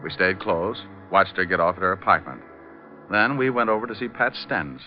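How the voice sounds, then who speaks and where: A man's voice: low voice, normal speed, lightly acted. An American man playing a glib, sardonic private detective who narrates his case in an old radio crime drama. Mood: brisk narrating. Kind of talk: 1940s-50s radio crime drama acting. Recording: dull old radio recording, music behind.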